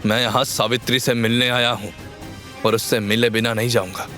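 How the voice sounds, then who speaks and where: A man's voice: mid-range voice, level tone, normal speed, bright, forceful tone, faint music behind, close to an everyday voice. A man playing a stern, commanding man in his seventies in an audio drama, speaking firmly. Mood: stern, insistent. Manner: firm, stern tone. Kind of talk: Hindi audio drama acting.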